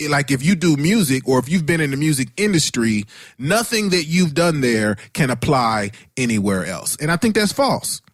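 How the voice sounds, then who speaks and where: A man's voice: a little high, big pitch swings, normal speed, dry recording, clean studio sound. An American man hosting a podcast in casual interview chat, slangy and informal, repeating himself as he forms his thoughts. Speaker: podcast host.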